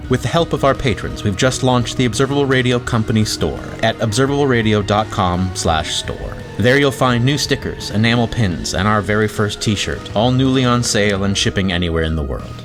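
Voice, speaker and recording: Credits announcer: man, fairly low pitch, scripted read, music bed prominent underneath. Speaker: announcer reading show credits. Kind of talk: scripted narration.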